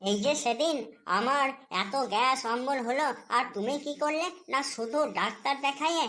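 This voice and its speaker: Nagging wife for cartoon comedy, female performer: high voice, scolding, sarcastic, suspicious, always offended. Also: normal speed, fairly bright tone. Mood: scolding, aggrieved. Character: nagging, suspicious, quick-to-take-offence Bengali housewife.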